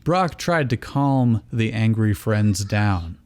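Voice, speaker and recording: Man narrating a story with lively intonation. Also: on the low side, slow pace, clear, resonant tone. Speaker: reader of fan fiction, host.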